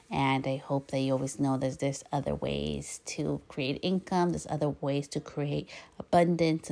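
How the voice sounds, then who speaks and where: A woman wraps up her podcast with a motivational closing message. Her voice sits low and carries a gravelly fry. She speaks slowly and keeps an uplifting tone. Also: warm tone. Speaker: podcast host. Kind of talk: motivational outro.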